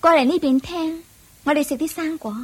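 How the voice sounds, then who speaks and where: An actress playing a tender, devoted young princess and mother in a Cantonese radio drama, speaking softly and affectionately with a questioning lilt. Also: sits high, normal speed, close to an everyday voice. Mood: affectionate, happy.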